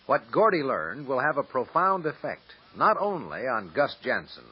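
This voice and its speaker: A man as radio announcer, giving a smooth, inviting scripted narration with a husky voice. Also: medium pitch. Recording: dull lo-fi old radio recording.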